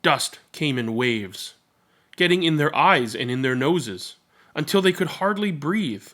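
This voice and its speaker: A man reading a children's picture book aloud as narrator, in a measured, expressive and dramatic storytelling manner. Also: a little high.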